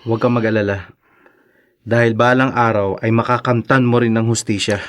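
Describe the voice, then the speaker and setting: A man's voice: mid-range voice, fairly even intonation, moderate pace, close to an everyday voice. A man acting in a radio drama as a loyal, reassuring friend, speaking earnestly and supportively.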